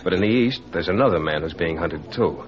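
An actor playing an earnest young detective in 1940s radio style, speaking urgently in short, insistent sentences. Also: male voice, deep voice, animated, a little fast. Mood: urgent, pleading. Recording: dull 1940s radio recording.

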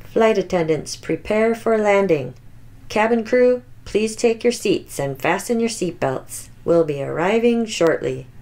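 A woman's voice: mid-range voice, lively intonation, a little slow, darkish tone. A woman voicing a polite, formal airline cabin announcer for a children's picture book. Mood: calm, official.

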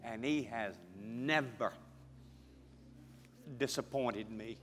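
A middle-aged male pastor preaching and praying fervently before his congregation, building to loud prayer. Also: medium pitch, takes it slowly. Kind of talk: sermon and prayer. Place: large church sanctuary with congregation. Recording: church hall with music behind.

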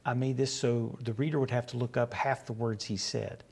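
Guest, man in his 60s, West Virginian accent, gravelly, easygoing storyteller, lapel mic. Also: medium pitch, steady tone, moderate pace, darkish tone. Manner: rambling, anecdotal, acts out quoted exchanges. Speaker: guest, comic book writer. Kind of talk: relaxed storytelling interview. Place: small studio set.

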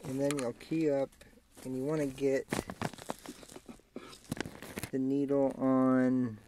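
A man talking through a hands-on tutorial in a casual, halting way, thinking aloud as he works. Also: medium pitch, flat delivery. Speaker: amateur how-to demonstrator. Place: inside a parked vehicle. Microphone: handheld camera mic. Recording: clearly noisy amateur camera audio, clicks.

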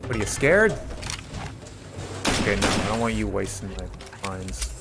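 A man's voice: lowish voice, lively intonation, measured pace, vocal fry, loud music behind the voice. A man giving casual playthrough commentary on a video game, talking cheekily to the enemies as he plays. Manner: talks to game enemies, mock-taunting, swearing. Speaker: gameplay streamer and mod author.

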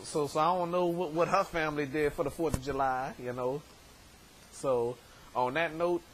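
A man in his thirties, hoarse from shouting, hosting a casual vlog from his bedroom on a webcam, rambling with many stammered repeats. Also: fairly high pitch, a little hiss or hum, poor audio. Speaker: show host. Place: bedroom, indoors. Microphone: webcam at desk distance.

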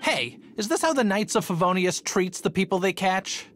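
Blustering young thief, swinging wildly. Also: male voice, sits high, sing-song, normal speed, fairly bright tone. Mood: sullen. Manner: blustering, exclaiming. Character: cocky, panicky young male treasure thief. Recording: music behind the voice.

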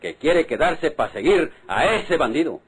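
A man's voice: a little high, lively intonation, unhurried, breathy edge. Volatile, swooping Mexican father. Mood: exasperated. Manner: exasperated, melodramatic. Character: hot-tempered, impatient Mexican father and husband. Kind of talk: golden-age radio drama acting. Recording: noisy old radio recording.

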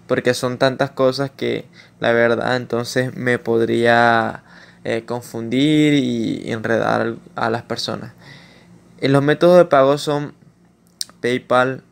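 A Latin American man narrating a screen-recorded tutorial in an informal way, pausing with fillers and restarting his sentences. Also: mid-range voice, gravelly, smooth. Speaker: YouTuber explaining online earnings.

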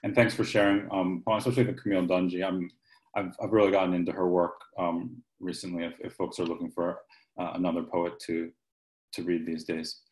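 A man's voice: deep voice, little pitch movement, fairly quick, a little mellow. Man around forty, event host, informal talk, echoey kitchen, video call. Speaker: host of a poetry reading. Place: home kitchen. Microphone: computer microphone on a video call.

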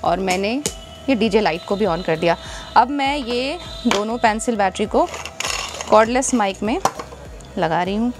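A woman's voice: mid-range voice, lively intonation, unhurried, long pauses, music in the background. A young adult woman, demonstrating a product to viewers and explaining it step by step. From India. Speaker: product reviewer and presenter. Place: indoors, small room stacked with product boxes.

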